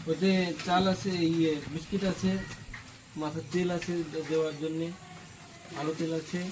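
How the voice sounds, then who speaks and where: A man's voice: a little high, flat delivery, normal speed, background noise. A man doing charity work, chatting casually and reassuringly on a visit and often checking that the listener follows. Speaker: charity worker vlogging a visit.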